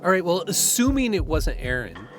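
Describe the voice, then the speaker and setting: An actor playing a shaken, suspicious man in an audio drama, speaking haltingly and with exasperation. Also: on the high side, huge pitch swings, over music. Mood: shaken, uncertain. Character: Greg, shaken, suspicious man unnerved by strange events.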